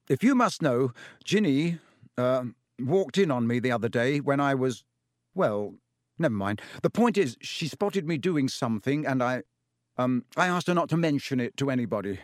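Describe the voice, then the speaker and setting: A man's voice: mid-range voice, dry recording, close to an everyday voice. A man voicing a pompous teenage boy prefect who stammers when embarrassed, in a dry recording.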